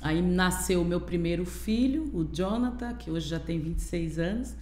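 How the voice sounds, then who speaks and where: A woman's voice: fairly low pitch, expressive, moderate pace, mellow, roomy sound. A woman in her forties from Pernambuco, a guest pastor telling her personal testimony candidly in a podcast conversation. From Pernambuco, Brazil. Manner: earnest, candid storytelling.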